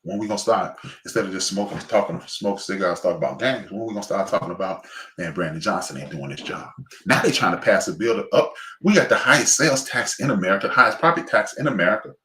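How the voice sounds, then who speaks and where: A man's voice: lowish voice, fast, reverberant, slight background noise, rough recording. A man with a Chicago accent hosting a casual livestream monologue, rambling and preachy, full of fillers and restarts. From Chicago West Side. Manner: rambling, preachy, many fillers and restarts, shout-outs to friends. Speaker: livestream host.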